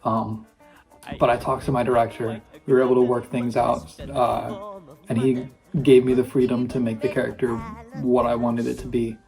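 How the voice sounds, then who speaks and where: A young man with a high tenor voice, a high school theatre student talking enthusiastically about a show. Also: level tone, moderate pace, vocal fry, a little mellow, soft background music, low-quality recording. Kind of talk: casual recorded testimonial.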